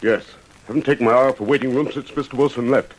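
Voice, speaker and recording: A man's voice: medium pitch, fast, a little hiss or hum, lightly acted, poor audio. A man playing an obliging, dutiful station master in a radio drama, affable and cooperative.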